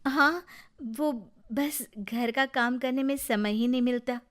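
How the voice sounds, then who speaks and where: A woman voicing a meek, soft-spoken young bride in a cartoon story. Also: high voice, slow pace.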